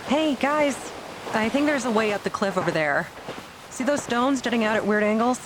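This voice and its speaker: A woman's voice: a little high, expressive, normal speed, background noise, close to an everyday voice. A woman playing an earnest, upbeat young adventurer in an audio drama, with natural dialogue delivery. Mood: bright, wondering.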